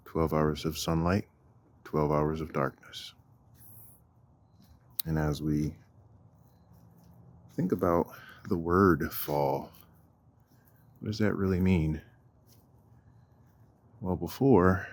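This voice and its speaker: A man leading a guided walking meditation in a deliberate, measured manner, with long pauses and a husky voice. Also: very deep voice, darkish tone. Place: outdoors on a walk.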